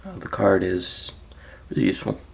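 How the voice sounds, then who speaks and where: A man with a raspy, just-woke-up voice, casually walking through his card deck with frequent ums and soft trailing phrases. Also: low-pitched, sing-song, normal speed, rough recording. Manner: laid-back, hesitant, frequent ums, trails off softly. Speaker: card game player presenting his deck.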